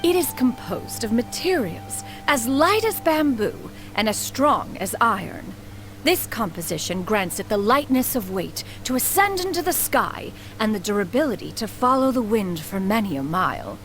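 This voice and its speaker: An actress playing a proud, aloof elder inventor in a video game, speaking in a formal, lofty, archaic manner. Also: female voice, on the high side, sing-song, normal speed, faint music behind. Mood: lecturing, haughty. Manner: formal, haughty, archaic speech calling herself "one".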